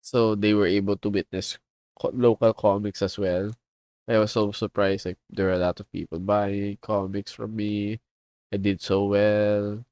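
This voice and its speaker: A man with a Filipino accent rambles through a casual stream, slipping between English and Tagalog. He speaks slowly in a somewhat low voice, close and dry on the mic. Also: little pitch movement, darkish tone, clean tone, dry recording. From Philippines. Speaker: streamer and comic artist. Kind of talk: casual livestream chat.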